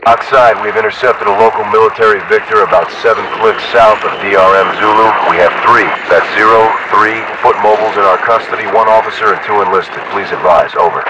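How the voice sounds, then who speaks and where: Casting: man as calm, low-pitched soldier, clipped radio procedure, heavy static and noise. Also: brisk, few pauses. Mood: uneasy report. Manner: procedural, terse, uses call signs. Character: calm male soldier on military radio net. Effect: military radio filter. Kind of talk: clipped military radio chatter. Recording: noisy, distant military radio transmission.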